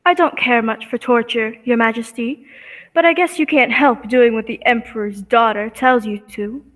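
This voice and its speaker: A woman's voice: fairly high pitch, normal speed, fairly bright tone, close to an everyday voice, low-quality recording. A woman acting a devoted, brave young Earth heroine in an amateur radio serial, with earnest melodrama. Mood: coy, flattering.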